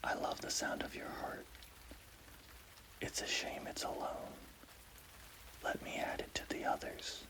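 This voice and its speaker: A man's voice: unhurried, pauses often, natural voice. Whispering cruel monster, acted out by a man. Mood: taunting, hungry. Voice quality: breathy whisper. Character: cruel, twisted heart-stealing monster, menacingly sweet. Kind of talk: ASMR horror storytelling.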